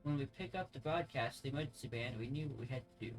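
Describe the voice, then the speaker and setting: A man's voice: medium pitch, quick pace, lightly acted, poor audio. A man reading aloud the game dialogue of a friendly, plain-spoken mercenary officer, conversational and stumbling over some words. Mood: somber. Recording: music playing behind the voice.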